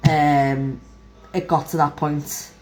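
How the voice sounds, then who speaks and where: A young woman and mother, casually rambling through her day to camera, frank and lively. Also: low voice, slow, soft background music. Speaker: vlogger, mother. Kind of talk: casual chatty recap to camera. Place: home. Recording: echoey room.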